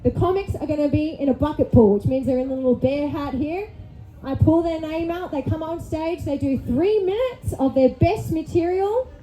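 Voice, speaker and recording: A woman's voice: high voice, normal speed, warm tone, poor audio. A woman hosting a live comedy show from a club stage on a handheld mic, chatty and upbeat, often tagging lines with "okay?". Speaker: host. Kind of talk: upbeat live emcee patter.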